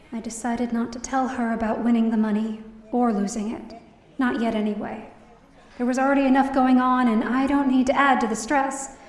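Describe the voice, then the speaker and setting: Woman playing a high-voiced, weary daughter, roomy sound. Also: close to an everyday voice. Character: weary, worried daughter narrating her own story. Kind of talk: first-person scripted narration in audio drama. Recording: roomy, distant mic, faint music behind.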